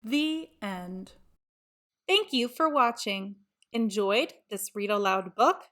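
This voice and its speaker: A woman reading a children's picture book aloud as a storyteller, with deliberate phrasing. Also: mid-range voice, fairly bright tone. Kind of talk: children's read-aloud narration.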